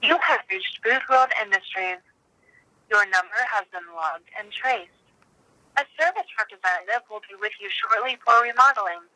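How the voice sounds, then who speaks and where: Automated phone greeter, male, very high and piercingly bright, formal scripted courtesy over speakerphone. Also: normal speed, no room sound, rough recording. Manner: formal, corporate politeness. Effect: telephone. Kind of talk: scripted recorded phone message. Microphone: played through phone speaker. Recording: recorded message over speakerphone.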